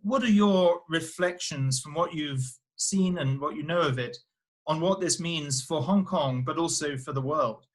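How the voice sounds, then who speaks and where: A man hosting a formal conversational interview, speaking in measured, courteous phrases. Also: fairly high pitch. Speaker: interview host.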